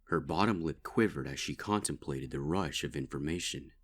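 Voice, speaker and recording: A man giving grave, measured narration of a dark fan story. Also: very deep voice. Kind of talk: dark fanfic audiobook narration.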